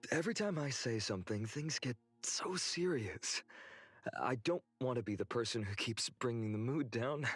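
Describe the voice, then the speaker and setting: An actor playing an earnest, resolute young swordsman hero in a video game, speaking in a measured, friendly way. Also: mid-range voice, animated, a bit bright, slightly rough. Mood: humble, lightening up. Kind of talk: English video game voice acting. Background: background game music.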